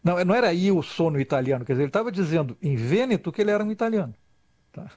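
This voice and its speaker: A man's voice: high-pitched, expressive, very fast, darkish tone, no echo. An older man from Brazil, a guest talking in a rambling way, restarting often and adding "tá?" tags. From Rio Grande do Sul, Brazil. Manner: rambling, lots of restarts and "tá?" tag questions. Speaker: guest expert. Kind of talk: conversational interview. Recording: dull lo-fi dry sound.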